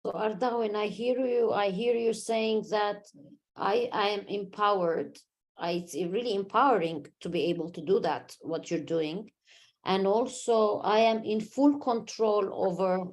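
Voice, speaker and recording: A woman's voice: mid-range voice, measured pace. A woman moderating a podcast panel, asking the group questions and summarizing what the others say. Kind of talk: casual panel chat.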